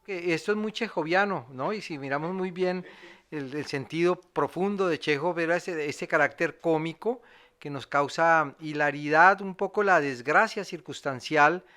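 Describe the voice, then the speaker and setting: Male theatre commentator, fairly high and very bright voice, discursive and reflective, seeks agreement. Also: moderate pace. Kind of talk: reflective spoken analysis.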